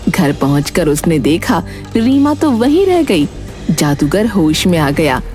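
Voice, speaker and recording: A woman's voice: fairly low pitch, sing-song, measured pace, mellow. A woman narrating a scripted fairy tale as a storyteller. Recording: music behind the voice. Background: background music.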